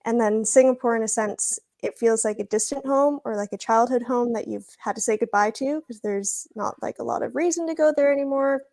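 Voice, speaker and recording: A woman's voice: fairly high pitch, brisk, vocal fry, hardly any pauses, a little mellow. A young woman speaking as a guest in a casual podcast conversation, thoughtful and laughing often. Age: young adult. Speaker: guest, former international school student.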